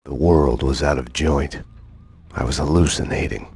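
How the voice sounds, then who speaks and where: Brief: haunted noir game detective, extremely deep, gravelly, world-weary narration. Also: male voice, steady tone, a little mellow, slight background noise. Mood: grim, regretful. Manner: grim, deliberate, world-weary. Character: brooding, haunted male detective narrating his own downfall.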